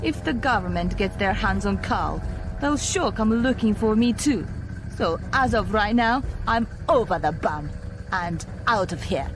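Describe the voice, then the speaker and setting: An actress playing a sharp-tongued, scornful biker in a video game, curt and sardonic. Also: female voice, mid-range voice, lively intonation, a little slow, over music, lightly acted.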